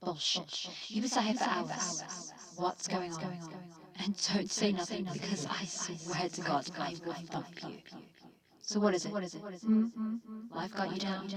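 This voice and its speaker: A woman's voice: on the low side, fairly even intonation, slow pace, crowd noise. A woman playing a blunt, impatient but concerned young friend in a short film drama, brusque and teasing. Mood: prodding, concerned. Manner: brusque, teasing, British slang.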